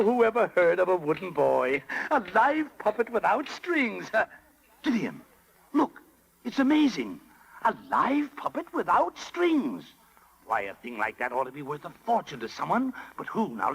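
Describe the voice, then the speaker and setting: High, pompous swindler fox. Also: male voice, vocal fry, a bit bright, breathy edge, heavily acted, poor audio. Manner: pompous, florid, oily salesman patter. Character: sly, grandiose swindler fox, theatrical and flattering. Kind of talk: 1930s radio drama acting. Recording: vintage 1930s radio broadcast.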